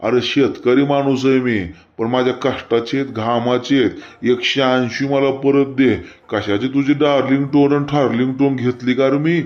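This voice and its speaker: A man's voice: mid-range voice, steady tone, normal speed, hardly any pauses, darkish tone, lightly acted. A man playing a stubborn, foul-mouthed village farmer in a comic cartoon, with a rural Maharashtrian accent and a blunt, repetitive way of complaining. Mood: angry haggling. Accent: rural Maharashtrian Marathi. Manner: blunt, rustic, repetitive haggling and cursing. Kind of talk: comic cartoon phone-call sketch. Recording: dull lo-fi audio under music.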